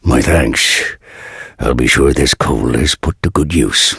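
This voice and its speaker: Kindly old blacksmith, dark fantasy game. Extremely deep, gravel-throated and husky, archaic folksy speech, recorded close and dry. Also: male voice, no room sound, full character voice. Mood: grateful, wistful. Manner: slow, archaic, folksy phrasing. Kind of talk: dark fantasy video game character dialogue.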